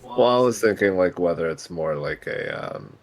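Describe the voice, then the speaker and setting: A man co-commentating on a game in casual chat, halting as he thinks, with dry sarcasm. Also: low voice, animated.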